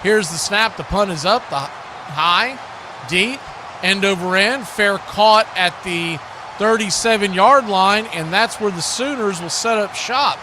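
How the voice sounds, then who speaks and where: High-pitched American man calling football play-by-play. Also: a little slow, background noise. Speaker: play-by-play sportscaster. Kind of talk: live American football commentary.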